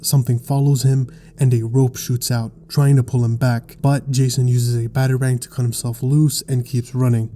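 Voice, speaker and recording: A man narrating a scripted explainer in a measured, articulate storytelling way. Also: medium pitch, warm tone. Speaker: video essay narrator.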